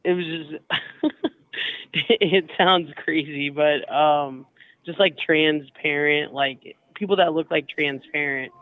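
A man's voice: a little high, fairly even intonation, takes it slowly, dry recording. A young man on a telephone call, speaking casually and hesitantly as a hotel employee, over a tinny, narrow line. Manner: hesitant, filler-heavy, informal. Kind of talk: casual phone conversation.

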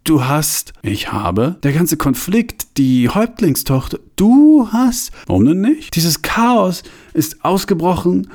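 A bewildered young man stammers out short, startled questions in a raised, thin voice, as a male narrator puts him on. The high register carries a gravelly rasp underneath. He halts often and starts over. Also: sing-song, darkish tone, heavily acted. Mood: confused. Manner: stammering, halting.

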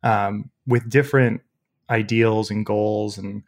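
A young man with a loud voice, a podcast guest telling stories casually with many fillers. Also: on the low side, level tone, a little slow. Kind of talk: casual storytelling interview.

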